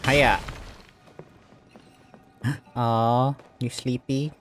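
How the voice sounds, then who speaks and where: A man's voice: medium pitch, steady tone, drawn-out words, a bit bright. A man commenting casually on a video game as he plays, chatty and reactive. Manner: chatty, reactive, talks to the screen. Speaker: gaming streamer. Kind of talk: let's play commentary. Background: game music.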